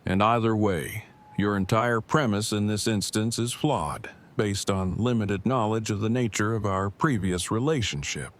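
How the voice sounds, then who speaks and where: A man's voice: fairly low pitch, a little slow, close to an everyday voice. A man playing a polite, pedantic man with formal, wordy speech in an audio drama. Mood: unruffled, formal. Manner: formal, verbose, precise wording. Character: polite, pedantic, formal-spoken man, easily embarrassed game master. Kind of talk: scripted audio drama acting.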